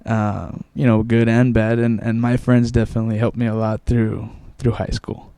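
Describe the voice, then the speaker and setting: A man's voice: mid-range voice, level tone, moderate pace, gravelly, warm tone, smooth, dry recording. A man in his twenties, born in Mexico with Spanish as his first language, chatting casually on a podcast and often repeating words as he recalls. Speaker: guest.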